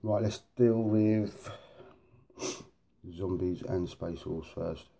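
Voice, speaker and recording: A man's voice: low voice, slow pace, vocal fry, noisy background. A man hosting a casual, rambling hobby vlog in informal, matey slang, with a sniffly, blocked nose. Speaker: host of a hobby video series.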